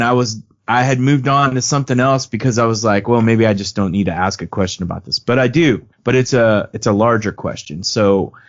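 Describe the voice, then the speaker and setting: Guy podcast co-host, thoughtful rambling questions, kinda dull lo-fi audio. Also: mid-range voice. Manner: thoughtful, long winding questions. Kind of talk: interview questions.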